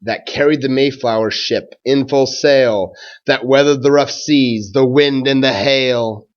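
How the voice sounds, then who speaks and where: A man who is a schoolteacher doing a read-aloud for children and talking to pupils in a measured, simple way. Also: medium pitch, lo-fi sound.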